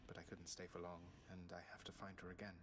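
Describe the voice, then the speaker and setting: An actor playing an earnest, slightly wry young prince of the underworld in a video game. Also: male voice, low-pitched, level tone, fast, lightly acted. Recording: noisy game audio under stream.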